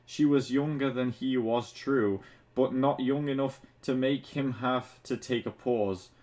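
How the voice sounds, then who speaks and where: Guy reading out a fanfic, mid pitch, drops in snarky sweary comments. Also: steady tone, unhurried, darkish tone. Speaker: reader of fan fiction for an online video. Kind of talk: dramatic reading of fan fiction with casual asides.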